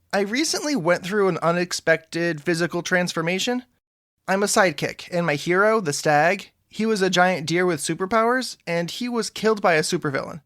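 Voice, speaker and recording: A man's voice: sits high, fairly bright tone, no room sound, clean studio sound. A man playing an earnest, awkward young superhero sidekick who politely rambles through explanations in an audio drama. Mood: earnest, rambling. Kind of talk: scripted audio drama dialogue.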